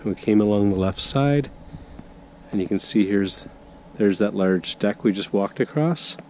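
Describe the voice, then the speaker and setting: Low-voiced realtor with a cold. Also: male voice, measured pace, darkish tone. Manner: chatty, guiding the viewer. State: has a cold, a bit hoarse. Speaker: real estate agent. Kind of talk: casual walkthrough narration. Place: outdoors, walking around a property in the cold. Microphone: handheld camera while walking. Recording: outdoor noise with passing vehicles.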